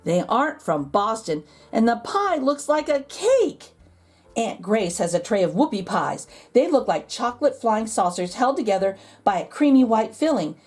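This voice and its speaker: Woman storybook reader, high voice, lively swoops, deliberate emphasis, narration for children, soft music behind. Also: husky. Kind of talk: expressive scripted narration for children.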